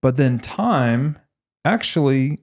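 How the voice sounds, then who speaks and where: A man hosting a podcast, explaining ideas conversationally in a thoughtful, measured and halting way as he reasons aloud. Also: mid-range voice, sing-song, a little mellow. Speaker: podcast host.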